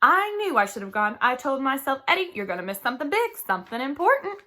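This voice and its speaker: An excitable neighbour lady played by a woman reader chatters out of a storybook. Her voice is fairly high and bounces about with emphatic, gossipy eagerness. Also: quick pace, full character voice. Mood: regretful, eager.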